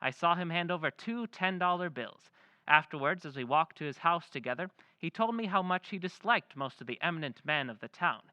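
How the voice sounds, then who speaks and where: An actor voicing a genteel letter-writer reading his letter aloud in an old-time radio drama, measured and confiding. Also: on the high side, animated, bright, forceful tone, no room sound.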